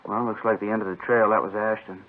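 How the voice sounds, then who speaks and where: An actor playing a steady male police inspector in an old radio crime drama, understated and matter-of-fact. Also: lowish voice, fast talker, noisy background, close to an everyday voice. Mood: casual, businesslike. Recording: muffled, phone-like vintage radio recording.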